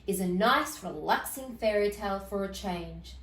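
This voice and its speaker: A woman's voice: medium pitch, normal speed, fairly bright tone, roomy sound, distant mic, close to an everyday voice. A woman voicing a grumpy, fussy male big bad wolf from a children's storybook, with growly exclamations. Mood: weary.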